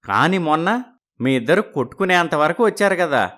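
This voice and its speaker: A man voicing a proud but soft-hearted village brother and husband in an animated moral story. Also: on the high side, normal speed. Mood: aggrieved.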